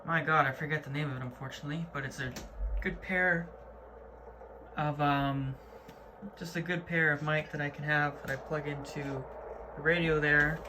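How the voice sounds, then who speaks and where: A man's voice: on the high side, fairly even intonation, normal speed, long pauses. A man giving an informal, matter-of-fact, slangy hobbyist tour of his gear in an unscripted way, recorded indoors. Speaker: hobbyist presenter. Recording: clearly noisy amateur video recording.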